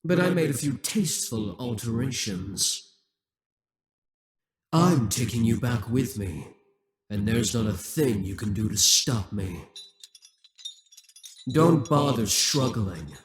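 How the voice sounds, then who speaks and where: Demon king, male performer: proud conqueror, coaxing yet menacing, slow deliberate delivery, ASMR roleplay monologue. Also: medium pitch, expressive, very echoey, recorded from a distance. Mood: menacing, triumphant.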